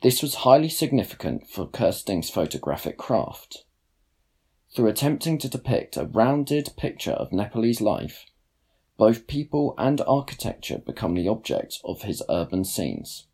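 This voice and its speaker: A young man narrates a written piece in a low, creaky voice. His delivery is measured and formal, with careful phrasing and plenty of rise and fall in the intonation. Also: sing-song, darkish tone. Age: young adult. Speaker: student writer reading own blog post. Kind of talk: scripted narration, read aloud.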